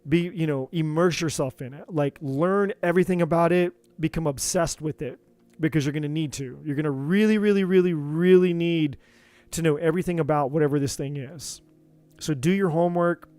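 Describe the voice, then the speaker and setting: A man doing a live tarot reading, talking in an earnest, encouraging way with many repeats, his voice going a bit hoarse. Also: on the high side, brisk, a little mellow, dry recording, faint music behind. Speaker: tarot reader.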